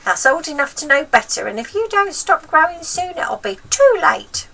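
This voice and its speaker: A woman's voice: sits high, big pitch swings, moderate pace, gravelly, bright, forceful tone, recorded from a distance, voice pushed up, heavily acted. A woman voicing a selfish, grumpy old grandmother from a children's storybook, snappish, scolding and demanding. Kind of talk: acted children's storybook reading.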